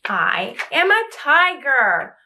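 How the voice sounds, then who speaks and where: A woman voicing a small, stubborn mouse who insists it is a tiger, speaking slowly and emphatically. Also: sits high, sing-song, sharp tone, voice pushed up, heavily acted. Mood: insistent.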